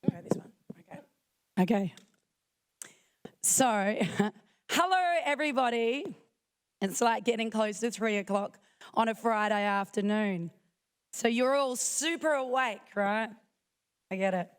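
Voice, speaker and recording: Croaky woman giving a talk. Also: fairly high pitch. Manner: chatty, self-joking, quick asides. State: croaky, losing her voice. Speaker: conference speaker. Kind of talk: casual conference talk. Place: conference hall.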